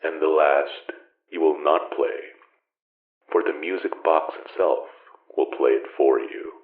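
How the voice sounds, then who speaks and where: A man playing a secretive, careful magic user in an audio drama, speaking in a measured, formal, gravelly voice through muffled, roomy sound. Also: a bass voice. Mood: grave, cautious. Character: secretive, careful, long-concealed man of magic, calmly cautioning. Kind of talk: audio fiction drama acting.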